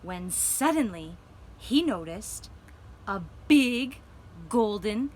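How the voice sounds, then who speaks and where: A woman telling a gentle children's story for a puppet show, deliberately, with dramatic pauses. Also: medium pitch, animated.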